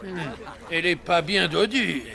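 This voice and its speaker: A man voicing a gruff, pompous fry-cook husband in a French cartoon, talking in slang. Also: high-pitched, a little slow, pushed and bright, some chatter behind, heavily acted. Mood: pompous, disdainful. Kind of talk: exaggerated French cartoon acting.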